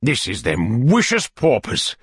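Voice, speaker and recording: A man's voice: mid-range voice, wildly swooping pitch, slightly rough, no echo, studio quality, full character voice. A man voicing a pompous, unctuous parish official with a tinge, in a storybook. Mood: indignant, pompous. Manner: pompous, slow, soothing. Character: pompous, unctuous parish beadle courting a matron. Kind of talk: dramatised audiobook character voice.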